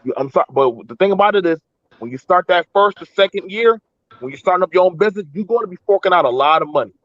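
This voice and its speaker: A man on a discussion panel, arguing in animated casual talk and often restarting his words. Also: sits high, fast, no room sound, highs cut off. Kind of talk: casual debate chat.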